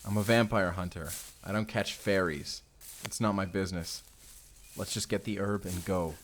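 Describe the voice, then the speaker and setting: A man's voice: sits low, steady tone, moderate pace, slight background noise, close to an everyday voice. A man playing a weary, guarded vampire hunter in an intimate audio roleplay, speaking in an understated, confiding way. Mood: wary, instructive. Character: weary young male vampire hunter, guarded, self-doubting guide.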